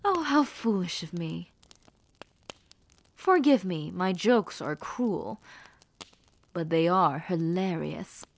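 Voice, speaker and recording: Slow, haughty villainess, acted by a woman. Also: lowish voice, lively intonation, creaky, exaggerated voice. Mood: mock-reverent, teasing. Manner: theatrical, formal, condescending, drawn-out phrasing with dramatic pauses. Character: haughty, menacing villainess, rebel mistress with sweet cruel poise. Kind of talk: scripted fantasy audio roleplay, addressing the listener.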